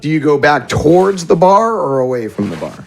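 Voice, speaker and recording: A man's voice: a little high, big pitch swings, fairly quick, gravelly, darkish tone. A man narrating a tabletop game as game master, with theatrical, improvised storytelling.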